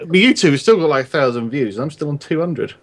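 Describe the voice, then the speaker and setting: A man's voice: a little high, lively intonation, quick pace, noisy background. A man in his thirties bantering casually as a co-host on a group podcast. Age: 30s.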